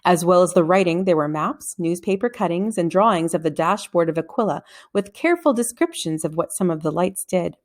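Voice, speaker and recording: A woman's voice: on the low side, lively intonation, fairly quick, hardly any pauses. Woman reading a children's novel aloud. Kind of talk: scripted story narration, read-aloud.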